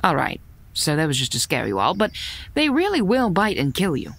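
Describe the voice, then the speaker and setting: A woman's voice: low voice, wildly swooping pitch, a little fast, fairly bright tone, dry recording, full character voice. A woman playing a sly, haughty kitsune fox spirit in an audio drama, teasing, commanding and condescending. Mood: smug, teasing.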